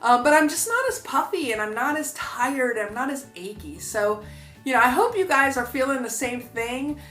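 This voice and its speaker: A woman's voice: high-pitched, expressive, moderate pace, fairly bright tone, roomy sound, faint music behind. A woman in her fifties vlogging in a chatty, upbeat way, full of fillers and asides to her viewers. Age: 50s. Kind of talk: casual talk to camera.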